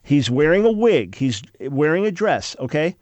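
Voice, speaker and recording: A man's voice: a little high, sing-song, normal speed, a little mellow, dry recording, lo-fi sound. An older man hosting call-in talk radio, sounding congested, arguing emphatically with frequent restarts. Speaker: radio talk show host.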